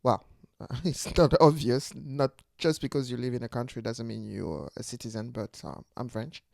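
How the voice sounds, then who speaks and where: A woman's voice: a bass voice, normal speed, creaky, darkish tone, no room sound, clean studio sound. A woman in her forties from Ivory Coast, talking to camera in an unscripted, reflective way as she searches for her words. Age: 40s. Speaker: channel host, teacher. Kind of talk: unscripted personal talk to camera.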